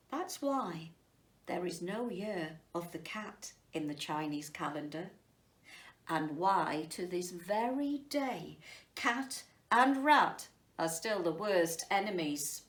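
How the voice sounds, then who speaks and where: A woman reading a story to children and chatting to them about it, addressing them directly in an unhurried way. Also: medium pitch. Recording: roomy echo, distant mic.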